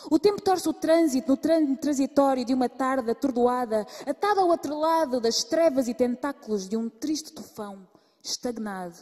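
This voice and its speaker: Female slam poet, fairly high voice, rhythmic delivery, live stage with long reverb. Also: expressive, a little mellow. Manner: rhythmic, alternating drawn-out words and rapid runs. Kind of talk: slam poetry performance.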